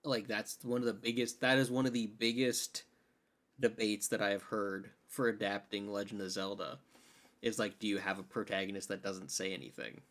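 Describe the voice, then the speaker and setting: Lowish-voiced man in casual conversation. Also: fairly even intonation, moderate pace, fairly bright tone. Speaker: podcast co-host. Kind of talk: casual chat about games and films.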